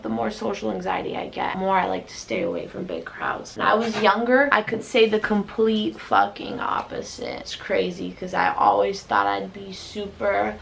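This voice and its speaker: Blunt, gravelly young woman vlogger. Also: medium pitch, fairly bright tone, slight background noise. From United States. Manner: blunt, frank, swears often. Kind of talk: casual talk to camera.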